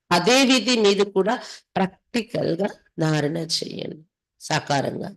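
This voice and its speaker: A woman's voice: medium pitch, low-quality recording. A woman from India, a spiritual teacher reading and explaining devotional text in an instructive way, repeating for emphasis. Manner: instructive, with emphatic repetition and rhetorical questions.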